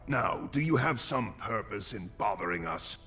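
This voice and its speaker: An actor playing a haughty dwarf prince and scornful elder brother in a fantasy video game, pompous and dismissive. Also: male voice, fairly low pitch, lively intonation, normal speed, gravelly, pushed and bright, soft background music, heavily acted.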